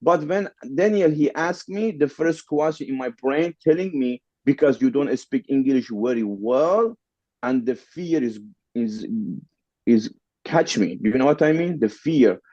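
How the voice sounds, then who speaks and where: A man's voice: mid-range voice, expressive, unhurried, a little mellow, no echo, muffled recording. A man from the Middle East whose first language is Farsi, speaking English with a Persian accent as a podcast guest, repeating phrases for emphasis. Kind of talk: casual interview storytelling.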